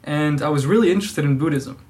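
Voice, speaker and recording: A man's voice: fairly high pitch, quick pace, a little mellow. A man, talking casually as a podcast guest in a careful, thoughtful, somewhat hesitant way. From born in Serbia, raised abroad from toddlerhood. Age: early 20s. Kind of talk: casual interview chat.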